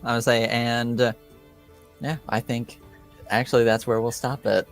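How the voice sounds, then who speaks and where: A man running a tabletop game as game master, improvising narration in a halting way as he searches for words. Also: medium pitch, level tone, faint music behind.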